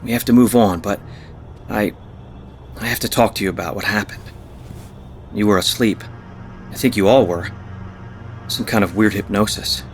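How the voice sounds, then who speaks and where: An actor playing a grave, concerned friend, speaking earnestly in naturalistic audio drama. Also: male voice, on the low side, normal speed, long pauses, faint music behind, natural voice. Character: concerned, grave man comforting a shaken friend.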